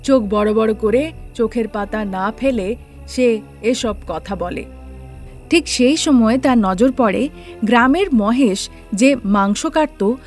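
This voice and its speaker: A woman storyteller with a fairly high voice reads a scripted cartoon tale. Music plays under her narration. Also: darkish tone.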